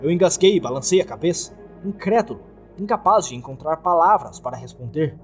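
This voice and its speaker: A man's voice: a little high, expressive, a little fast, soft background music. A man with a Brazilian accent narrating a scripted horror story.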